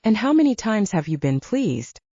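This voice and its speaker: A woman's voice: mid-range voice, big pitch swings, moderate pace, warm tone, husky, no echo, highs cut off, pitched above the performer's own voice. A woman voicing a cocky, flirtatious male werewolf chef in an audiobook, self-assured, with a Nevada accent. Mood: teasing, bold.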